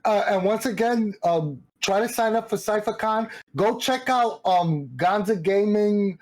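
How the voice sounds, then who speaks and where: A man's voice: sits high, measured pace, darkish tone. The joking, slangy guy who hosts a hobby livestream and casually runs through the sign-up and follow plugs before closing the show. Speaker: host of a gaming community stream. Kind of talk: casual chat with promotional plugs.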